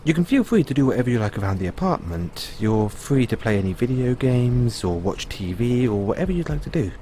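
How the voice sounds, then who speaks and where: A man playing a thoughtful, kindly owner of an android in an audio roleplay, musing and explaining things to the listener in a measured way. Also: medium pitch, big pitch swings, warm tone, a little hiss or hum, lightly acted. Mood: casual, slightly secretive.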